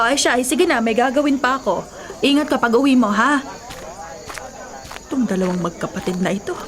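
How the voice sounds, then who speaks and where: A woman plays a scheming Filipino aunt in a radio drama. She is middle-aged and grasping, brushing people off with evasive, dismissive talk. Her pitch sits in the ordinary range for a woman. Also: close to an everyday voice.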